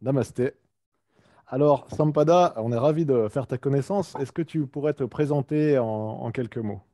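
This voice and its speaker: A man's voice: mid-range voice, normal speed, dark tone. A middle-aged man hosting a casual interview over a video call, prompting his guest with short acknowledgements. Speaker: host. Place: small room indoors.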